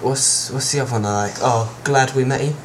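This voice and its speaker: A man's voice: medium pitch, steady tone, a little fast, background noise. A young man co-hosting a podcast in casual chat, often stumbling over his words. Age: teens. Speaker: podcast co-host.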